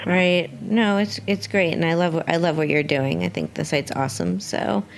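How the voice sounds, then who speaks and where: A woman's voice: fairly low pitch, normal speed. A woman hosting a radio show, chatting casually as she interviews a guest. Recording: clearly noisy background.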